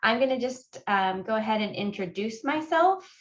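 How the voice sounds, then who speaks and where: A woman's voice: fairly high pitch, animated, moderate pace. A woman in her forties from Honduras, giving a friendly, conversational webinar presentation with frequent fillers as an admissions guest speaker. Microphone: video call. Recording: muffled video call audio.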